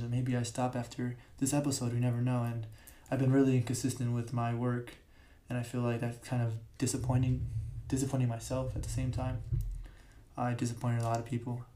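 A young man hosting his own podcast, his voice raspy today, talking casually with many fillers and restarts, recorded in a big room. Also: medium pitch, dark tone. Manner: rambling, filler-heavy, self-correcting. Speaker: podcast host. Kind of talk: casual solo podcast talk. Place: large echoey room. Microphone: podcast mic.

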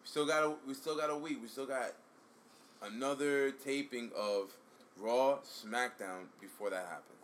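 A man co-hosting a casual chat, talking in a relaxed, hesitant way with trailing phrases. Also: medium pitch, moderate pace, a little hiss or hum. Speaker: podcast co-host.

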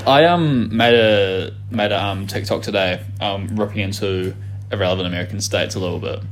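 A man's voice: fairly low pitch, normal speed, slight background noise, rough recording. A young man from New Zealand with a New Zealand accent, chatting casually as a podcast co-host, rambling, swearing freely and laughing often. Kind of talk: casual chat.

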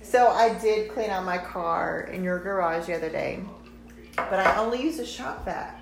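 A woman around forty chatting casually in a home kitchen, animated and teasing. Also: fairly low pitch, moderate pace, creaky, echoey room, heavy background noise. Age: 30s. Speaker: retail sales manager. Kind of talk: casual chat.

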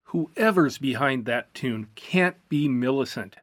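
A woman's voice: very deep voice, animated, slow, dry recording, studio quality, pitched below the performer's own voice, full character voice. A woman voicing a puzzled, easygoing young man who sounds bewildered and defensive in an audiobook. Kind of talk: audiobook character voice.

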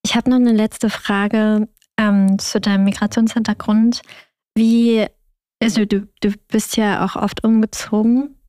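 A woman's voice: mid-range voice, level tone, a little slow, warm tone, no room sound, studio quality. A young woman from Germany chatting casually as a podcast host. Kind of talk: casual interview chat.

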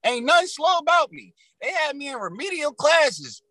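A raspy young man telling his story in loose, slang-heavy casual talk as a rapper guest. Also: very high voice, very animated, moderate pace, bright, forceful tone, no echo.

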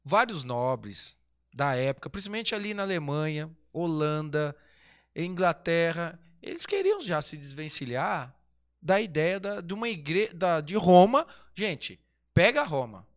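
A man's voice: fairly high pitch, big pitch swings, a little slow. Chatty man rambling through history. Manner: rambling, restarts often, asks himself rhetorical questions. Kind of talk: casual history chat.

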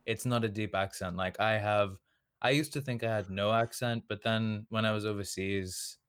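Relaxed Australian man, low smooth voice. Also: moderate pace, no echo, clean studio sound. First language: Serbian. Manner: chatty, lots of "like" fillers. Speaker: podcast guest. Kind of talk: casual conversation.

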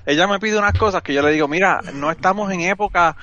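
A man's voice: high-pitched, fast talker, bright, forceful tone, lo-fi sound. A man with a Puerto Rican accent chatting casually as a podcaster, frank, slangy and self-deprecating, over an online call. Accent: Puerto Rican Spanish. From Puerto Rico. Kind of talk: casual chat, joking and frank.

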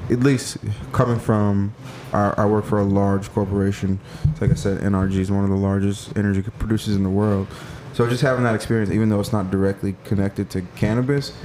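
A man with a fairly low voice co-hosts a casual podcast interview. He talks in loose, slangy banter and laughs often. A faint noise floor sits under the recording. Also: dark tone. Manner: laid-back slangy banter with frequent laughs. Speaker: podcast co-host.

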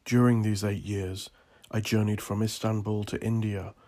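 A man from Northern Ireland narrating his own scripted story as an author, in a brooding, solemn, deliberate and theatrical manner. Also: on the low side, little pitch movement, heavy background noise.

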